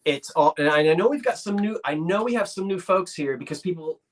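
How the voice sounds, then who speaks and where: A man's voice: fairly high pitch, expressive, a little fast. A man from South Jersey with a South Jersey accent, hosting a live sale stream in casual, rambling chat. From South Jersey, Delaware Valley. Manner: rambling, restarts sentences often.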